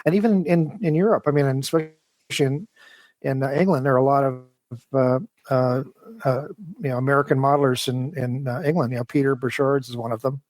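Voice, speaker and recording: Man giving rambling, halting answers, dry sound. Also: mid-range voice, steady tone, a little mellow, dry recording, rough recording. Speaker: interview guest, model train design engineer. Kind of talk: casual, detailed interview talk.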